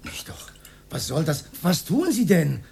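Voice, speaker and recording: A man's voice: a little high, big pitch swings, a little slow, gravelly, mellow, slightly rough, exaggerated voice. An actor playing a timid, pedantic old man, speaking hesitantly and formally in a radio drama. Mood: alarmed. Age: older.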